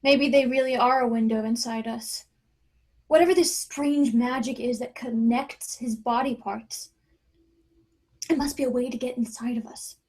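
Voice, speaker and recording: A woman playing a shaken but determined mother in a screenplay table read, speaking in an urgent, probing, halting way. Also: a little high, steady tone, darkish tone, close to an everyday voice. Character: Sue, shaken, determined mother hunting for her daughter.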